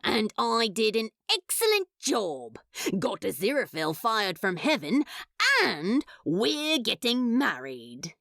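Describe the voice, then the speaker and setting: A woman's voice: fairly high pitch, big pitch swings, slow, gravelly, fairly bright tone, no echo, studio quality, heavily acted. A woman voicing a cocky, dramatic demon in a fan fiction audiobook, drawling and grumbling with theatrical grievance. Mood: smug, proud. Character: cocky dramatic demon, sullen yet showy, theatrically aggrieved.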